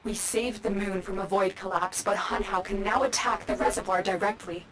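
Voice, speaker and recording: A woman's voice: mid-range voice, fairly even intonation, normal speed, a crowd in the background, lightly acted, poor audio. A woman voicing an urgent mentor guide. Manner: urgent instructions. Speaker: mission guide.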